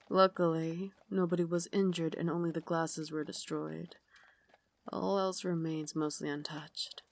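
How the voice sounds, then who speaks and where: A woman playing a refined, tender but tormented Victorian vampire lady in an ASMR roleplay, speaking in a formal, genteel way with breathy asides. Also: sits low, little pitch movement, takes it slowly, long pauses, full character voice. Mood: poised, wistful. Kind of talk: ASMR roleplay, dramatic monologue.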